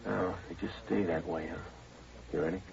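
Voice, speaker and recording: A man acting a terse, level-headed police detective in a 1950s radio drama, clipped, matter-of-fact and deadpan. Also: low-pitched, normal speed, creaky. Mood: low, tense. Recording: dull old radio recording, background noise.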